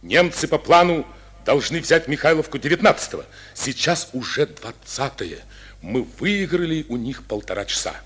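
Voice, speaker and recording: Brief: a seasoned radio-play general, husky and ironic, pitch rising and falling widely. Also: male voice, lively intonation, moderate pace, fairly bright tone. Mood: urgent, commanding. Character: stern, sharp-witted male Soviet army commander. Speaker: army commander, a general.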